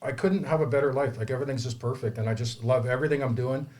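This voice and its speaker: Brief: man, 50s, guest photographer, warm-hearted rambler, mid-pitched, loose and chatty. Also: fairly even intonation, racing. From Toronto, Canada. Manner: rambling, upbeat, many restarts. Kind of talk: casual studio chat. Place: studio.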